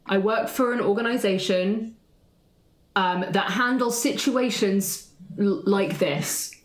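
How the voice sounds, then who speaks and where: A woman playing a calm, tactful agent who speaks carefully and reassuringly in a tabletop game. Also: medium pitch, takes it slowly, echoey room, close to an everyday voice.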